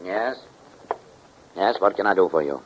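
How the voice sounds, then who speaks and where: An actor playing a tough, shrewd dealer in stolen goods in a 1940s radio crime drama, curt and slangy. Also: male voice, low-pitched, animated, a little slow, noisy background. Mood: brisk, guarded. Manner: curt, slangy, hard-boiled. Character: tough, shrewd male fence dealing in stolen diamonds. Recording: muffled, dull 1940s radio recording.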